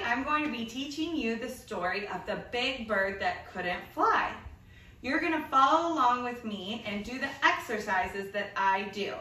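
Bright young woman coaching kids, echoey. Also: fairly high pitch. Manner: upbeat, sing-song, counting out moves. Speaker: kids' fitness coach. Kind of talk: children's exercise story. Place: bare indoor hallway. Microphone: camera across the room. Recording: echoey room, voice from a distance.

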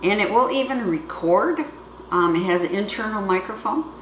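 A woman's voice: medium pitch, animated, normal speed. Lady explaining a gadget casually, middle aged, echoey room, bit of background noise. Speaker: product reviewer. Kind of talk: casual hands-on product demonstration. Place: home kitchen, indoors. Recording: roomy echo, faint background noise.

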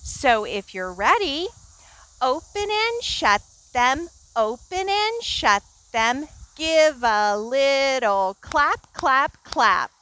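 A woman's voice: high-pitched, big pitch swings, drawn-out words, pushed and bright, rough recording. A middle-aged woman hosting storytime outdoors in a garden, talking to young children in a singsong manner. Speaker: children's librarian, storytime host.

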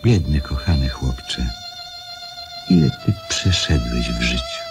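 Very deep sad king, tender, swooping intonation, radio play, music behind. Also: huge pitch swings, slow, exaggerated voice. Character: kind sad king, a grown man, reading his affectionate letter. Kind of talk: radio drama acting.

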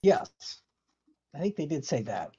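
Halting reader on a dull video call. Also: female voice, deep voice, very animated, mellow, husky. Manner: halting, loses place, repeats phrases. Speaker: dramatic reader at a comedy science session. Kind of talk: reading a research paper aloud.

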